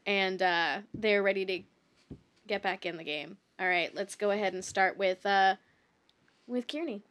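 Bright, giggly woman hosting a comedy show. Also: mid-range voice, measured pace. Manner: giggly, playful. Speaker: host and narrator. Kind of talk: casual comedy show hosting, stage-direction reading.

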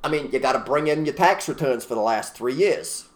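A man's voice: mid-range voice, animated, fairly quick. A man co-hosting a casual talk show, ranting emphatically and mimicking people in put-on voices. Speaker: podcast co-host. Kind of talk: opinionated casual chat.

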